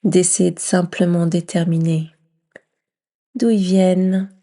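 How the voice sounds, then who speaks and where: Woman, meditation guide, very slow, mellow and soft, soothing near-whisper, long pauses. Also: lowish voice, flat delivery. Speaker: yoga nidra meditation guide.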